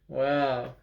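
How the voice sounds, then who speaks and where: Slow, expressive male storyteller in echoey room. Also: mid-range voice, gravelly, dull audio. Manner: dramatic pauses, expressive emphasis, playful ad-libbed asides. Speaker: storyteller reading a children's Bible picture book. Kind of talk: animated read-aloud storytelling for children. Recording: roomy, echoing room sound.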